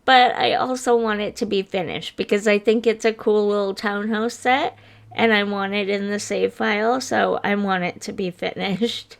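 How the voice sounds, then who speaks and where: A woman narrating a game build video in a casual, rambling way, her voice a little rough and stuffy from congestion. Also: medium pitch, fairly even intonation, moderate pace. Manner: chatty and apologetic, rambling with fillers. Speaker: gaming video creator. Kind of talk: casual voice-over commentary over a speed build.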